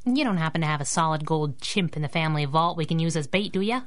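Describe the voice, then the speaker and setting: An actress playing a tough, young, wisecracking superhero sidekick, sassy and slangy, in a 1930s-style radio drama. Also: female voice, low-pitched, fast talker, lightly acted. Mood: wry.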